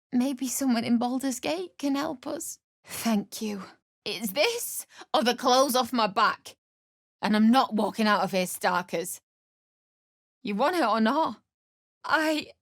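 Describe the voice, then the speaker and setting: A woman's voice: sits high, no echo, clean studio sound, full character voice. A woman playing a distraught, pregnant young widow in a video game, emotional and pleading, swinging between grief and bitter anger. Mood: subdued, earnest. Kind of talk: dramatic video game voice acting.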